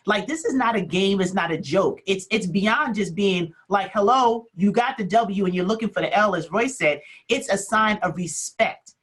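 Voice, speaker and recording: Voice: medium pitch, moderate pace, few pauses, a bit bright, husky. A talk show co-host indignantly laying out numbered points.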